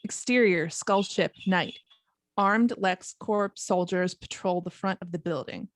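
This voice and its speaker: Casting: female narrator for script directions, lowish voice, slow delivery, dry sound. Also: no echo. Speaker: narrator reading stage directions. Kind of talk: scripted narration at an amateur table read.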